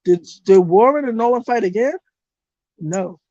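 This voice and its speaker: Blunt, hoarse-voiced woman streamer. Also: mid-range voice, normal speed, no room sound, dull audio. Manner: blunt, profane and animated, talks to her chat. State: losing her voice. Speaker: spiritual reader and streamer. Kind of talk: casual livestream monologue.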